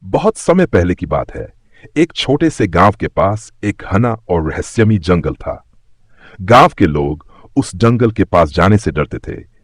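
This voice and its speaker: A man's voice: deep voice, slightly rough, dry recording, studio quality. A man narrating a scripted moral story for children as a storyteller.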